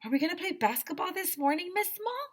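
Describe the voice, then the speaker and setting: A woman voicing an excitable, cheeky young schoolboy who exclaims eagerly in a children's storybook. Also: very high voice, quick pace, pitched above the performer's own voice, heavily acted. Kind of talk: children's storybook read-aloud.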